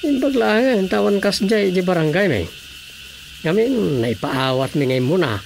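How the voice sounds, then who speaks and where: A man's voice: sits high, animated, unhurried, vocal fry, slight background noise, exaggerated voice. A man with an Ilocano accent acting a patient village council officer in an Ilocano radio drama comedy. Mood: concerned.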